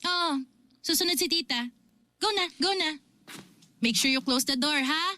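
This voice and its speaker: A woman's voice: sits high, measured pace, pushed and bright. A woman acting an exasperated, responsible older sister and aunt in a Filipino radio drama, with an emphatic way of speaking. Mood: coaxing, gentle. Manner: scolding, emphatic, nagging.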